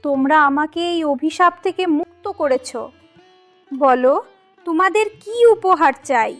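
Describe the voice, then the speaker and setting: A woman voicing a kindly young magical fairy in a cartoon story, gentle, sweet and reassuring. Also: sits high, a little slow, no echo, faint music behind. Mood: grateful, generous. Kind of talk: cartoon moral story acting.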